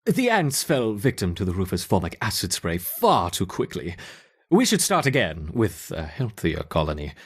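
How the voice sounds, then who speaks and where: Huffy, husky eccentric scientist. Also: male voice, low-pitched, huge pitch swings, normal speed, full character voice. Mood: agitated, deferring. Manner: muttering, huffy, theatrical. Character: eccentric, stubborn male scientist doting on his ant colony. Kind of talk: acted video game dialogue.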